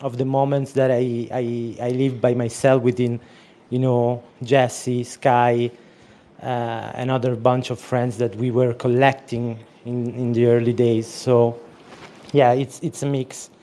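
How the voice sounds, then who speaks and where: A man's voice: mid-range voice, little pitch movement, measured pace, mellow. A man in a casual online audio chat, explaining his project at length as its organizer. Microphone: remote call audio.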